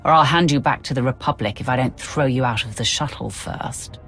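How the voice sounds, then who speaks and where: A woman's voice: very deep voice, brisk. Stern sith warrior lady for a game, cool, curt, controlled, music under it. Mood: cold warning. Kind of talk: video game cutscene acting.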